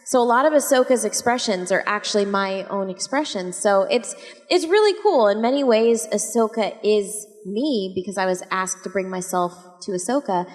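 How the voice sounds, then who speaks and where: A woman voice actor on a convention panel, telling stories in a lively, expressive way with quoted dialogue. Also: on the high side. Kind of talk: animated anecdote telling. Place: large convention hall. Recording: echoey convention hall.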